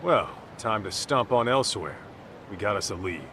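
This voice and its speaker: An actor playing a brash middle-aged detective in a video game dub, with an American accent and blunt, slangy delivery. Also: male voice, fairly low pitch, lively intonation, normal speed, noisy background. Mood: brisk, easygoing. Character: brash, street-tough middle-aged male detective, gruffly fatherly. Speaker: private detective.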